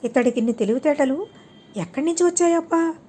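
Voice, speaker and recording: A woman's voice: sits high, fairly quick, warm tone, natural voice. A woman voicing a thrifty father who rents his home, earnest and reasoning, in an audiobook story. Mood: baffled. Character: thrifty father Ramanatham, a worried male tenant.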